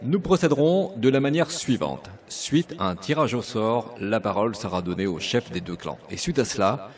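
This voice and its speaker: A man's voice: fairly low pitch, lively intonation, normal speed, close to an everyday voice. Man playing a formal congress announcer, distant mic. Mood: ceremonious. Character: formal male debate announcer at alien congress. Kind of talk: comic radio serial acting. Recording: distant microphone, noisy crowd.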